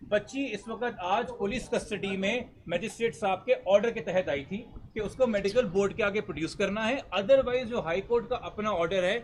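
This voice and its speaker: A man's voice: high voice, moderate pace, few pauses, slight background noise, rough recording. A Pakistani man speaking as a lawyer to reporters, articulate, formal and emphatic, mixing English legal terms into his speech. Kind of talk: press statement answering reporters' questions.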